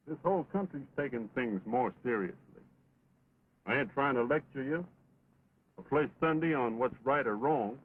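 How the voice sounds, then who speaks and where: An actor playing an earnest, steadfast American cowboy in an early talkie western, speaking in a plainspoken drawl. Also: male voice, mid-range voice, lively intonation, normal speed, natural voice. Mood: grave, earnest. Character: earnest, steadfast cowboy pleading with his wayward friend. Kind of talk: early 1929 talkie western film acting. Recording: muffled, scratchy 1920s film soundtrack.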